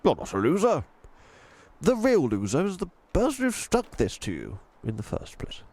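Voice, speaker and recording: Genteel, swooping old tramp. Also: male voice, fairly high pitch, wildly swooping pitch, moderate pace, a little mellow, pitched above the performer's own voice, exaggerated voice. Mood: firm, kindly. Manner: courteous, grandly old-fashioned phrasing. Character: smelly old male tramp, genteel, kindly, eccentric. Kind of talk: children's storybook character reading.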